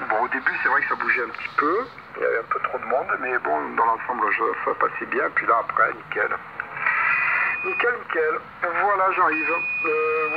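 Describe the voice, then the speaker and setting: A man rambles through a casual ham radio chat, repeating himself as he goes. His voice is mid-pitched and comes through a muffled radio channel. Heavy noise sits all over the signal. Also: creaky. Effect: radio transmission. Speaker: amateur radio operator. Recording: muffled shortwave radio, heavy static and hum. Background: loud radio noise.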